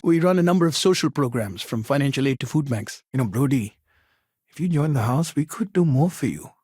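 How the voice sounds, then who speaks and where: A man voicing an earnest, patient counselor in a video game, talking in a measured, reasoned and reassuring way. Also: medium pitch, lively intonation, a little mellow, slightly rough, dry recording, clean studio sound. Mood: helpful. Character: earnest, patient male counselor at a secular community house.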